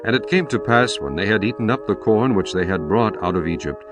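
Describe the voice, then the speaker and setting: A man narrating scripture in a measured, solemn style. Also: lowish voice, expressive. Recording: music bed behind voice.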